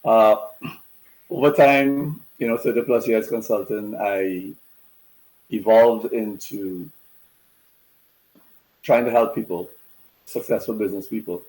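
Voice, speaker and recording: A middle-aged man from Trinidad, a business consultant chatting as a guest on a video call in a relaxed, conversational way. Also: lowish voice, slow, pauses often, poor audio. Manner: relaxed and conversational, many "you know" fillers. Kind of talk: casual interview chat over video call.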